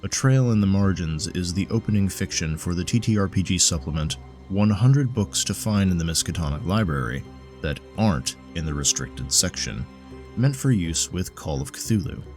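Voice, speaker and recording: A man's voice: sits low, lively intonation, moderate pace, a little mellow. A man reading scripted narration as the narrator and presenter of an audio story. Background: faint music.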